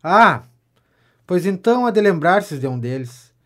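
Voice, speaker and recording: Man acting a pompous, high-pitched Brazilian political know-it-all. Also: measured pace, heavily acted. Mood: self-satisfied, fawning. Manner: pompous, declamatory, flattering. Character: vain, self-important gentleman pamphleteer, pompous political know-it-all. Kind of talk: audio drama of a 19th-century stage comedy.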